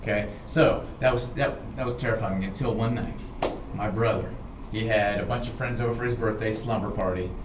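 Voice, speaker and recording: An American man tells informal personal stories in an animated, dramatic way, often checking in with his listeners. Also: on the low side, brisk. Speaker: storyteller, show host. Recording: roomy, distant, clearly noisy recording.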